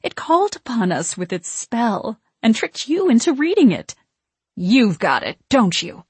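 A woman voicing a vain, scheming witch from centuries past, crooning and needling in a slow, theatrical manner for an audiobook. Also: on the high side, big pitch swings, breathy edge, dry recording, pitched above the performer's own voice, heavily acted. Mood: commanding, gloating. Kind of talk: audiobook character voice.

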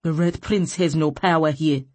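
A woman's voice: deep voice, fairly even intonation, moderate pace, dark tone, dry recording. A woman voicing a contemptuous male chief officer in an audiobook, curt, scornful and commanding. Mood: cold dismissal.

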